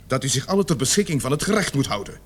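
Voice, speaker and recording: A stern male police officer in a radio drama. He questions people formally and insistently, with authority. His pitch moves in a lively, animated way. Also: racing, slightly rough. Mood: stern, commanding. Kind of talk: Dutch radio play acting.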